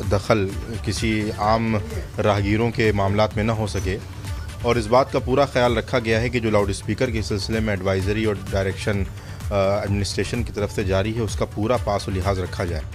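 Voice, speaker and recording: A man in his thirties, a cleric, giving a formal, measured statement to a news camera with hesitant pauses. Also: fairly low pitch.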